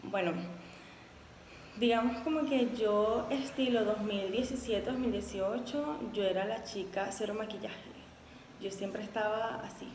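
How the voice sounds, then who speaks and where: A woman's voice: mid-range voice, measured pace, a little mellow. A young woman in her twenties from Venezuela, with a Venezuelan Spanish accent, chatting upbeat in a casual self-introduction video, with many fillers. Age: 20s. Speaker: contest applicant. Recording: echoey room with faint background noise.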